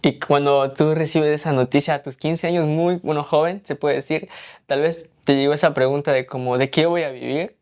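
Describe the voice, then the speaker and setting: A woman with a notably deep voice hosts the podcast and guides the conversation. She asks her questions in a relaxed, encouraging way and affirms what her guest says. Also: a little fast. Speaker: podcast host, interviewer. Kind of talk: conversational interview.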